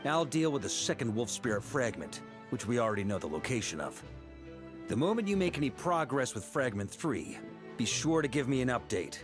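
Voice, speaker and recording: A man's voice: mid-range voice, big pitch swings, a little fast, soft background music, natural voice. A man voicing an easygoing knight commander in a fantasy video game, commanding yet affable. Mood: businesslike.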